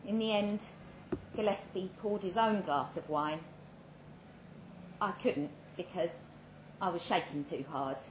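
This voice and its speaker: Casting: female story reader, unhurried and dramatic, slightly low voice, recorded live in an echoing room. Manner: measured, with dramatic pauses. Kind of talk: dramatic first-person narration. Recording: roomy live venue with faint background noise.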